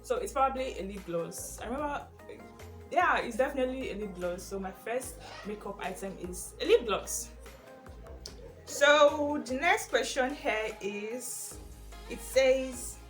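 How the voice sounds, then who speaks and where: A young Nigerian woman with an Igbo first language, chatting casually through a question tag video in a lively, rambling way. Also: mid-range voice. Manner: chatty and animated, with frequent fillers and laughs. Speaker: vlogger.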